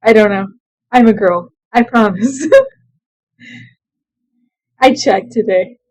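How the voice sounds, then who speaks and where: A young woman casually commenting on her own strategy game play, chatty and quick to laugh at herself. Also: mid-range voice, darkish tone, no room sound, poor audio. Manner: chatty, self-deprecating, laughs often. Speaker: let's play gamer.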